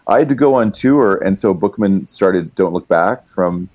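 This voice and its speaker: A man's voice: low voice, animated, moderate pace, a little mellow. A male musician and writer, a guest telling long, rambling stories in casual chat, with many fillers and restarts. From Canada.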